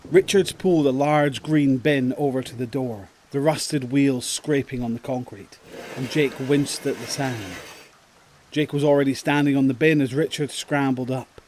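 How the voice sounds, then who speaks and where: A man's voice: medium pitch, darkish tone. A man narrating a scripted audio drama as its storyteller.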